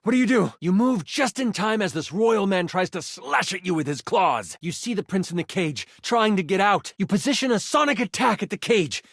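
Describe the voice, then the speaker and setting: A playful, theatrical game master for a video game, telling a fantasy tale with suspense. The voice sits a bit high for a male and moves very expressively. It is recorded close, with no room sound. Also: animated, normal speed, hardly any pauses, fairly bright tone, no echo, heavily acted. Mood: urgent narrating. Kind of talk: dramatic tabletop fantasy narration.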